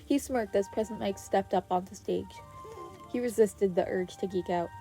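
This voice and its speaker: A woman reading scripted fiction aloud as an expressive podfic narrator. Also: mid-range voice, normal speed. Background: background music.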